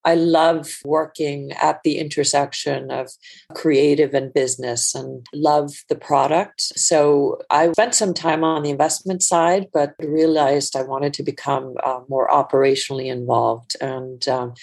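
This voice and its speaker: Woman from iceland, 40s, low gravelly voice, keeps restarting words as she thinks. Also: steady tone, unhurried, darkish tone. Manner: many restarts and repeated words, thoughtful. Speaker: entrepreneur guest. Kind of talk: conversational interview.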